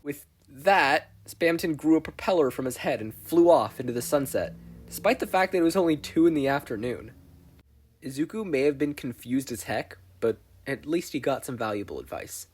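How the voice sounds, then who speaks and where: A man reading fiction aloud as a narrator, with an expressive, scripted storytelling delivery. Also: mid-range voice, moderate pace, breathy edge, faint music behind. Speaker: audiobook narrator.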